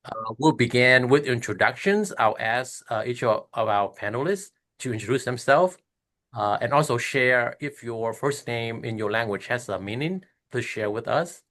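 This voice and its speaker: A man's voice: medium pitch, normal speed, fairly bright tone. A man moderating a video-call panel, speaking with a lot of hesitant fillers.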